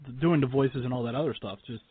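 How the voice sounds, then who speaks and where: Fast-talking man hosting, phone-like sound. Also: mid-range voice, slightly rough, no room sound. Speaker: talk show host, interviewer. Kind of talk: casual interview chat. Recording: dry, narrow phone-like sound.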